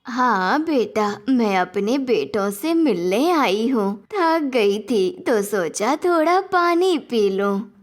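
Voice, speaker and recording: A woman voicing a meek, tired old onion mother in a cartoon moral story, with a gentle, accepting manner. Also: on the high side, animated, slow. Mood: tired, friendly.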